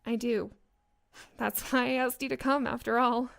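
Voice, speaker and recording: A woman's voice: on the high side, steady tone, moderate pace, gravelly, fairly bright tone. A young woman with an American accent playing an earnest, long-suffering best friend in an audio drama, dry and fond. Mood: wistful. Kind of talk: naturalistic audio drama acting.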